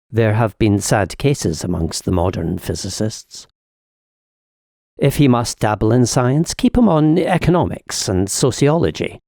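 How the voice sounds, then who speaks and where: A man's voice: lowish voice, darkish tone, no room sound, studio quality. A man voicing an urbane senior demon in a dramatic audiobook, lecturing his young nephew with pompous, sly smugness. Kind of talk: dramatic audiobook reading of epistolary satire.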